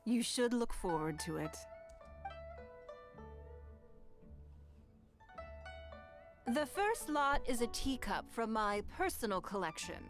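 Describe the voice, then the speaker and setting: Wealthy noblewoman, video game, composed, measured, shrewd, light background music. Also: fairly high pitch, very animated. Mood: poised, businesslike. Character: poised, shrewd wealthy noblewoman running an auction.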